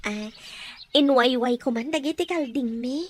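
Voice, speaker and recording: A woman's voice: high-pitched, a little slow, a little hiss or hum. A woman with a Filipino accent playing a flirtatious, scheming farm wife and mother in an audio drama. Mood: flirty. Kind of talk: Ilocano audio drama acting.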